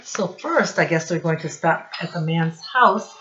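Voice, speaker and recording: A woman's voice: fairly low pitch, lively intonation, fairly bright tone, lo-fi sound, slight background noise. A middle-aged woman reading a picture book aloud to young children like a teacher, stopping to point things out and ask questions. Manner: instructive, addresses children directly, pauses to point things out. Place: small room at home, indoors.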